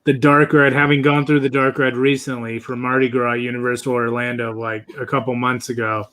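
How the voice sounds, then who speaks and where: A man's voice: mid-range voice, little pitch movement, a little fast, fairly bright tone. A man in his thirties hosting a live podcast panel, chatting eagerly and jumping in on others. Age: 30s. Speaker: podcast host. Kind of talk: casual chat.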